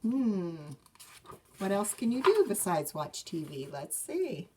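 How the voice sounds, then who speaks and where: A woman reading a picture book aloud to children as a librarian, expressive and measured, with asides to listeners. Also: medium pitch, noisy background. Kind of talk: children's storybook read-aloud.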